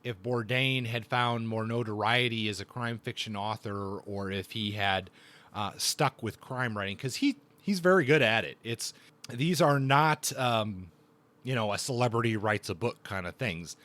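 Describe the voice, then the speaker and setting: A man hosting a casual book review podcast, speaking in a thoughtful, halting way with repeated words. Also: lowish voice, fairly bright tone.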